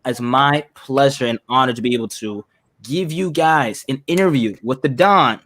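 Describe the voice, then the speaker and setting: A man hosts a casual interview with a close, dry sound. His pitch is middling and his wording is colloquial, addressing the guest like a friend. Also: moderate pace, a bit bright, dry recording. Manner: colloquial, says "man" and "bro" often. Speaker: interview show host.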